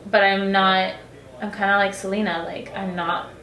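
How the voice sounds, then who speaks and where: A woman's voice: mid-range voice, little pitch movement, normal speed, bright, forceful tone, echoey room. A young woman, a singer-songwriter, chatting casually in an interview, filling her talk with "like.". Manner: chatty, many "like" fillers.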